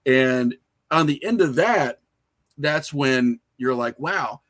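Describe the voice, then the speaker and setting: A middle-aged man with an American accent talks casually as a podcast guest. He tells stories in an animated way, slipping into little acted-out bits of dialogue. His pitch sits in an average male range. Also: slow. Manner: animated, acts out imagined dialogue. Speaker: podcast guest, independent filmmaker. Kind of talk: casual storytelling in an interview.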